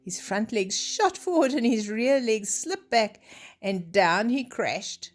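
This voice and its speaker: A woman's voice: a little high, lively intonation, slow pace. An older woman storyteller reading a children's story expressively, with chatty asides that explain things simply.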